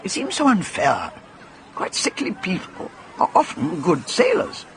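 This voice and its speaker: A woman playing a brisk, blunt, sporty middle-aged English spinster in a radio drama, with a wry manner. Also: mid-range voice, huge pitch swings. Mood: matter-of-fact. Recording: noisy radio drama soundscape.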